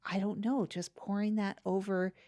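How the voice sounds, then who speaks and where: A woman's voice: medium pitch, flat delivery, normal speed, dark tone. A woman co-hosting an improvised comic podcast, chatting in a tentative, rambling way. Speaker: podcast co-host.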